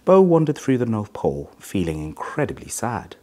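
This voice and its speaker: A middle-aged man reading a children's story aloud in an expressive, measured way. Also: lowish voice. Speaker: hospital chief executive reading a children's story.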